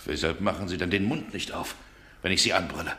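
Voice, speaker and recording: An actor playing an irritable, commanding gentleman, brusque and imperious, in a 1960s German radio drama. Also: low-pitched, fast. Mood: furious, contemptuous. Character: irritable, commanding 19th-century gentleman, nervous and domineering.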